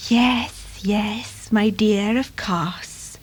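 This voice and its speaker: A woman's voice: mid-range voice, extremely slow, breathy edge, rough recording. A woman voicing a doting, fretful mother farmyard cat in a children's story, meowing and gushing. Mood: brisk, agreeing. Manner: wailing, purring, meowing.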